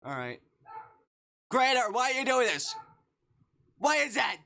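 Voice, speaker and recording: A man chatting casually on a game livestream, thinking aloud in a halting way. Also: extremely high pitch, sing-song, vocal fry, sharp tone. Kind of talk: casual livestream chat while playing a game.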